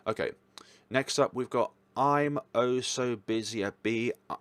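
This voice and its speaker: A man's voice: medium pitch, unhurried, fairly bright tone, no echo. A man giving casual, informal commentary as a reviewer, talking in a rambling way. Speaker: visual novel reviewer.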